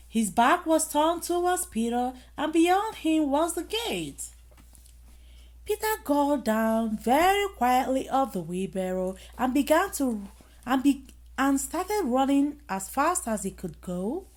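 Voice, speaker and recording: A woman reading a children's bedtime story aloud in an expressive manner, with dramatic emphasis. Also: sits high. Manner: expressive, dramatic emphasis with acted sound effects.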